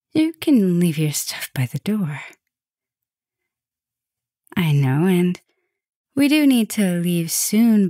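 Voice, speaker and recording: A woman playing a tender, gently dominant vampire professor in an intimate ASMR roleplay, speaking softly and soothingly, often slipping toward a whisper. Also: on the low side, no room sound, studio quality. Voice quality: soft, breathy, often dropping to a whisper. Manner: slow, soothing, affectionate murmuring with pauses.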